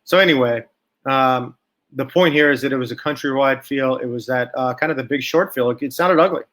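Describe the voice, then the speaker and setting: A man's voice: mid-range voice, a little fast, fairly bright tone. Blunt, skeptical male market commentator. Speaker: stock market commentator, channel host. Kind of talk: informal solo commentary, thinking aloud from notes.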